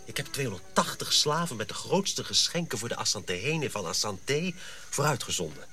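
An actor playing a dutiful, questioning Dutch colonial officer, earnest and precise, in a radio drama. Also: male voice, medium pitch, very animated, moderate pace, sharp tone, soft background music. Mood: concerned.

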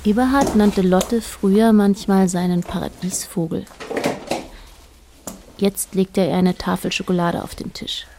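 A woman narrating a radio play as a detached observer, in a measured, matter-of-fact way. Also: medium pitch, fairly even intonation, gravelly, noisy background. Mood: detached, observant. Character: detached female narrator observing a married couple.

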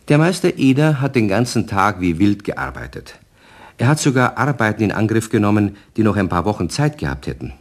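A storyteller narrating a children's radio play. His voice is deep, and his delivery moves expressively up and down as he addresses young listeners.

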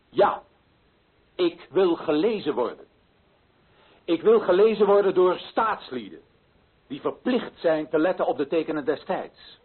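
Proud indignant author, husky higher-set voice, solemn theatrical declamation, radio play, muffled phone-like sound. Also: male voice, exaggerated voice. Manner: solemn, emphatic, rhetorical.

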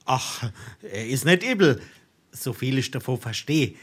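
A man's voice: medium pitch, big pitch swings, measured pace, breathy edge. An actor playing a naive, deferential young craftsman in a dialect stage comedy, polite and earnest, with a southern Hessian accent. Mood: shy, polite. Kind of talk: theatrical dialect comedy acting.

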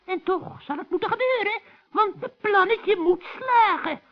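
Shrill, scheming old witch. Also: lively intonation, normal speed. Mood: grumbling. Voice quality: shrill, cackling. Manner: scolding, exclaiming, wheedling. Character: scheming, cranky old forest witch, female. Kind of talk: 1960s children's radio play acting. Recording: muffled old radio play recording.

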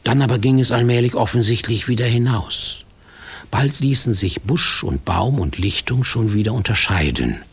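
A man narrating a fairy-tale radio play as an expressive, folksy storyteller. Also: medium pitch, moderate pace, vocal fry, husky.

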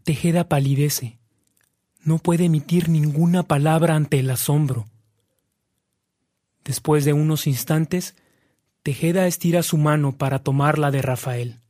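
A man's voice: a little high, fairly even intonation, unhurried, pauses often, mellow, dry recording, studio quality. A man narrating a radio drama in a solemn, articulate, scripted style. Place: dry studio.

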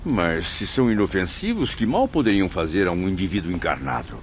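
An actor playing a wary, judgmental newcomer spirit who asks anxious questions, with a Brazilian accent, in a radio drama. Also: male voice, deep voice, big pitch swings, a little fast. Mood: uneasy. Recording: muffled audio, faint background noise.

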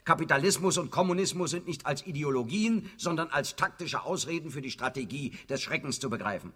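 A man's voice: fairly high pitch, fairly bright tone, breathy edge. An actor playing a brash, cynical communist party boss in a radio play, blunt and sardonic as he speechifies. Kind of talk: theatrical German radio play acting.

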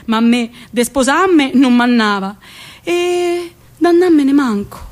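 A woman's voice: high-pitched, expressive, takes it slowly. A woman playing an old village grandmother with a central Italian accent, telling her memories in a plain, reflective, colloquial theatrical monologue. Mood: reminiscing.

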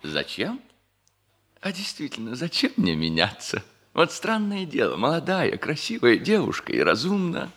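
An actor playing a flustered, smitten middle-aged army major in a 1960s radio comedy, with a theatrical, drawn-out delivery. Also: male voice, fairly high pitch, wildly swooping pitch, fairly bright tone, slightly rough, exaggerated voice. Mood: doubtful, bashful. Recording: 1960s radio play, music behind.

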